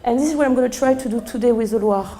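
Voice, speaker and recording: A middle-aged woman with a strong French accent, a sommelier speaking live to a seminar room, hoarse from losing her voice and chatty with many fillers. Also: fairly high pitch, racing, dark tone. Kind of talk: live talk to a seminar audience.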